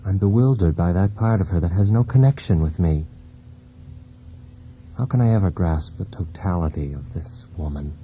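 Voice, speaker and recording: An actor playing a wounded, brooding husband in a poetic radio drama, speaking in a deliberate, intense, theatrical way. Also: male voice, on the low side, dark tone, slight background noise, close to an everyday voice, rough recording. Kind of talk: poetic monologue in a radio play. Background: music.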